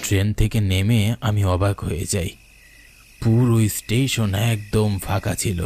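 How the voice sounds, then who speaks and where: A man's voice: lowish voice, fairly even intonation, measured pace, vocal fry, darkish tone. A man voicing an older villager who recounts a ghost encounter from his youth in a suspenseful storytelling manner for a cartoon. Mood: uneasy, suspenseful. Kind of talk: dramatic ghost story narration. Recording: noisy night ambience with chirping crickets.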